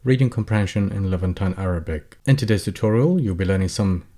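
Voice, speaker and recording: A man who runs a language channel. He teaches beginners, reading each phrase aloud, translating it and explaining it slowly. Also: sits low, expressive, warm tone. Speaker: Arabic teacher. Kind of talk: slow explanatory tutorial.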